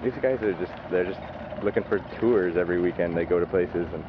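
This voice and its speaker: A man's voice: low-pitched, a little fast. A man with a Canadian accent chatting casually and slangily outdoors as he films on a handheld camera. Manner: laid-back, slangy, often ends with "eh". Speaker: amateur vlogger behind the camera. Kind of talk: casual chat. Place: outdoors in a parking lot. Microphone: handheld camera microphone. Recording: muffled camcorder sound, loud outdoor vehicle noise.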